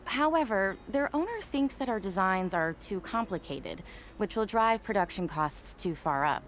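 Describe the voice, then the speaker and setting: A woman voicing an eager, persistent young academy researcher in a video game, excitable and keen to persuade. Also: medium pitch, normal speed, a little hiss or hum, close to an everyday voice.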